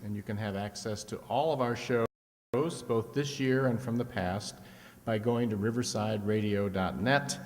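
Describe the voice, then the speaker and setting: A man's voice: on the low side, normal speed. A man delivering prepared thank-you remarks as spokesman of an amateur drama group in a reverberant hall. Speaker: spokesman of a community radio-play group. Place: reverberant hall, live event. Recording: reverberant hall, faint background noise.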